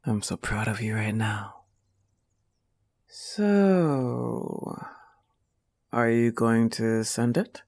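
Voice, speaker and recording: A woman's voice: very deep voice, drawn-out words, long pauses, darkish tone, full character voice. A woman voicing a protective, affectionate young goth wolf-girl girlfriend in an intimate audio roleplay, coaxing and reassuring the listener with a firm manner. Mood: reassuring, gentle.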